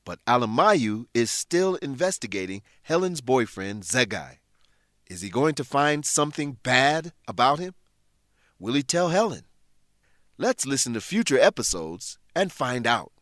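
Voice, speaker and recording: Animated male narrator teaching English. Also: mid-range voice, measured pace, no room sound, clean studio sound. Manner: clear, explanatory, addressing listeners. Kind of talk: scripted narration and vocabulary lesson.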